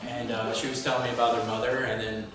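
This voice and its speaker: A man's voice: mid-range voice, flat delivery, fast, recorded from a distance. Man in a very echoey, noisy room. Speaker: screenwriter. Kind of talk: panel question-and-answer, casual. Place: indoor room at a table read event.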